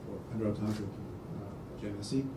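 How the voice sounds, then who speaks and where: A man's voice: fairly low pitch, steady tone, moderate pace. A man, a journalist in the audience, asking a question politely and hesitantly with many uhs. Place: lecture hall audience. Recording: echoey hall, distant mic, clear noise.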